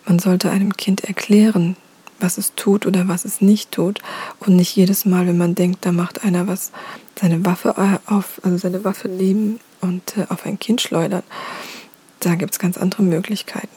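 A woman voicing a stern, composed male creator god in a soft whisper. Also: on the low side, level tone, normal speed, slightly rough.

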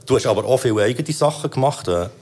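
A man's voice: mid-range voice, expressive, quick pace, darkish tone, husky. A man with a Swiss German accent hosting a casual interview and chatting loosely with many fillers. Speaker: podcast host interviewing a rapper.

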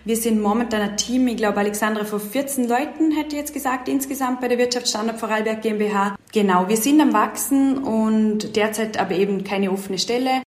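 A woman's voice: fairly high pitch, a little fast, few pauses, a little mellow. A young adult woman speaking as an event and project manager in an interview, explaining things eagerly and leaning on filler words. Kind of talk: casual interview talk. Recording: roomy echo.

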